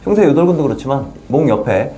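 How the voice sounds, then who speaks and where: A man, a pain clinic doctor, explaining neck muscles and exercises to a patient in a relaxed, plain-spoken way. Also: mid-range voice, quick pace, slight background noise. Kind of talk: explanatory health talk with patient.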